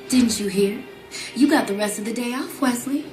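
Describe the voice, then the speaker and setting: A woman's voice: on the high side, normal speed, a little mellow, natural voice. An actress playing a brash, streetwise female assassin in a motion comic, sassy, taunting and commanding. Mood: coolly teasing. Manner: sassy, foul-mouthed, commanding. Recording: roomy sound with music underneath.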